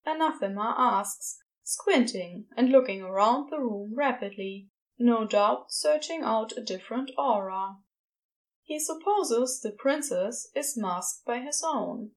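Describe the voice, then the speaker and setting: A woman serves as narrator for a fantasy story. Her voice sits fairly high as she reads scripted prose aloud between the lines of dialogue. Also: lively intonation, slow pace.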